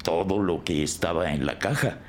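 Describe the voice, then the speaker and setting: An actor playing an indignant, shaken deli owner giving an emphatic account as a crime victim in an audio drama. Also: male voice, low voice, moderate pace, a little hiss or hum, natural voice. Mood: agitated. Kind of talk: scripted audio drama dialogue.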